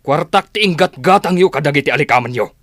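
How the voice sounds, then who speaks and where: Stern Ilocano father played by an actor, swinging pitch. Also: expressive, fast, a bit bright, no echo, clean studio sound. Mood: angry, commanding. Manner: confronting, commanding. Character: stern protective father ordering people out of his house. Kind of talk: radio drama acting.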